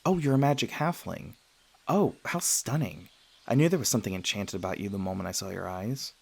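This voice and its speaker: Deep-voiced, gentle male vampire, acted by a man. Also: a little fast, creaky, slightly rough. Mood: warm, admiring. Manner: hesitant, soft-hearted, earnest, with stammers. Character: gentle, self-conscious male vampire, century old but youthful, sweetly flustered. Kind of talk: intimate ASMR roleplay addressed to listener.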